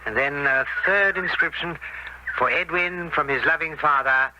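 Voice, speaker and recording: An actor playing a methodical Scotland Yard inspector in a radio drama, musing deliberately with hesitant asides. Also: male voice, fairly high pitch, natural voice. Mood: pondering. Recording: dull, heavily noisy old radio recording.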